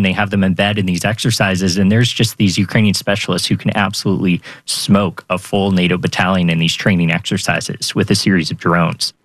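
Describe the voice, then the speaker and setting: Man, deep pitch, interview guest, conversational analysis, very dry recording. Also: no room sound.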